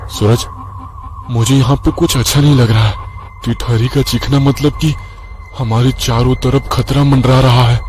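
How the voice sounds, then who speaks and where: A man's voice: medium pitch, steady tone, moderate pace, darkish tone, faint music behind, voice pushed up, lightly acted. A man voicing an anxious, superstitious young man in a horror story, warning with worried urgency. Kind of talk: acted dialogue in a horror story.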